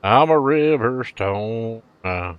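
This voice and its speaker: A middle-aged man streaming casually, chatting with viewers in a laid-back, rambling way and letting phrases trail off quietly. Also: medium pitch, animated, takes it slowly, clear, resonant tone, dry recording, dull audio. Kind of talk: casual live-stream chat.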